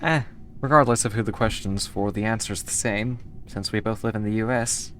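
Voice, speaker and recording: A woman's voice: a bass voice, normal speed, a bit bright, slight background noise. A woman from the United States streaming a game and chatting casually with her viewers, easygoing and rambling as she answers chat between moves.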